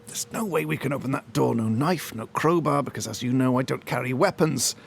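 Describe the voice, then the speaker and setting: An actor playing a pompous, melodramatic detective inspector with florid, theatrical speech in a comic audio drama. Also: male voice, mid-range voice, fairly quick, creaky, slight background noise, lightly acted. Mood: despairing. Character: pompous, melodramatic male detective inspector with grandiose self-regard.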